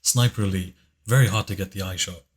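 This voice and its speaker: Very deep-voiced soft-spoken man. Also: steady tone, brisk. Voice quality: very deep, low voice. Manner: soft-spoken, hesitant, with many fillers and restarts. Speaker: video creator giving commentary. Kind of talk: casual commentary chat.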